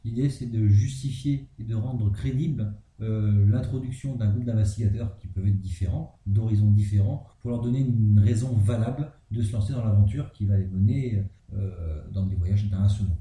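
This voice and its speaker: Male co-host, clear explaining tone, low voice, echoey room. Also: moderate pace, mellow. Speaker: podcast co-host. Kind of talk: casual podcast discussion.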